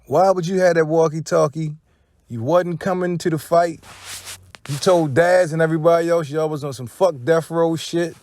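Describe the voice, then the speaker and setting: A man's voice: a little high, fairly even intonation, moderate pace, darkish tone, no room sound. An American man hosting casual gossip commentary, speaking in profane slang and posing rhetorical questions. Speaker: commentary channel host.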